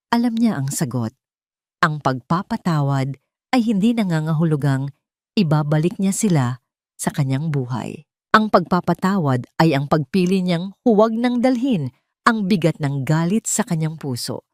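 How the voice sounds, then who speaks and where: A woman's voice: low voice, animated, moderate pace, dry recording, studio quality. A woman reading a scripted dramatic story as its narrator.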